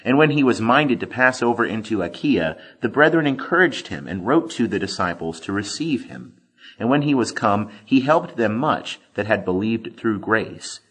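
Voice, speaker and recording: A man reading scripture as scripted audiobook narration, with expressive, deliberate emphasis. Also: on the low side, husky, poor audio. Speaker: scripture reader.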